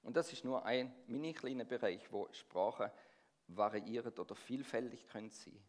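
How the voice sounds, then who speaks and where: A man's voice: mid-range voice, normal speed. A middle-aged man from near Stuttgart with a Swabian accent tinged by Swiss dialect, preaching a sermon and drawing the congregation in with questions. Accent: Swabian-tinged Swiss German. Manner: engaging, asks the congregation questions.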